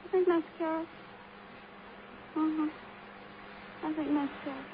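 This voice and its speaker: High-voiced, brittle American teenage girl. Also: steady tone, unhurried. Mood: flippant, evasive. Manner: dreamy, rambling, flippant. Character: frail, brittle, defiant teenage working girl, female. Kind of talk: 1950s radio crime drama acting. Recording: muffled old radio recording, faint noise.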